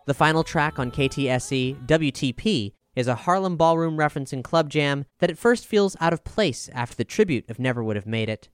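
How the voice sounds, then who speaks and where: A man with a smooth voice narrating a scripted music review as a voice-over. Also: on the high side, animated, a little fast, few pauses, dry recording, clean studio sound.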